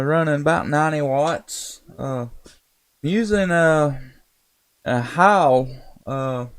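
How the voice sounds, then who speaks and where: A man's voice: fairly high pitch, clean tone. A man chatting casually and in a folksy, laid-back way as an amateur radio operator on a live stream. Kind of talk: casual ham radio chat.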